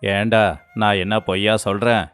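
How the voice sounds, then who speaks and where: Low-pitched male actor as greedy moneylender, clear smooth tone, sneering, domineering, sly cheat, story drama. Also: flat delivery, normal speed, a bit bright, faint music behind, close to an everyday voice. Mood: mocking, accusing. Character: greedy, mocking village moneylender, a cunning cheat. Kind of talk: dramatized moral story voice acting.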